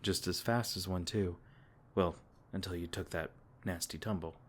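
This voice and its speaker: A man voicing a gentle, protective male fae king in an ASMR comfort roleplay, soft-spoken and tender, stumbling over his words now and then. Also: low voice, steady tone, moderate pace, a little hiss or hum, natural voice. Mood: tender, soothing.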